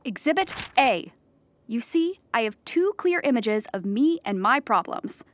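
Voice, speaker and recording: An actress playing an anxious, earnest, depressed clown in a theatrical audio drama monologue, explaining with dramatic emphasis. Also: female voice, a little high, sing-song, measured pace, slight background noise. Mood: insistent, explaining.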